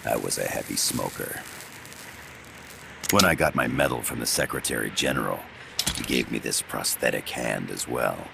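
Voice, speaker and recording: An actor playing a calm, soft-spoken older male professor who is secretly a spy, in a video game. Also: background noise, full character voice. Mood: quiet. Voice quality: very deep and gravelly. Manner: soft-spoken, measured, quietly persuasive. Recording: game cutscene with loud music behind.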